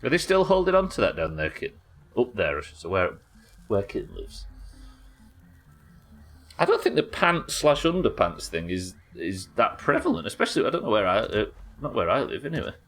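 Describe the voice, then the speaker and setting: Lively low-voiced British man chatting on stream. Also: normal speed, soft background music. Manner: rambling, reads chat messages aloud and muses over them. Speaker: streamer host. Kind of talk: casual live-stream chat while gaming.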